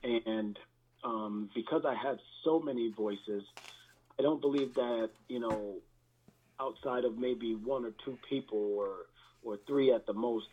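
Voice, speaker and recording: A man speaking as a guest pastor, giving a candid personal testimony in a halting, reflective manner with repeated words, through thin band-limited audio. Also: medium pitch, narrow, tinny audio.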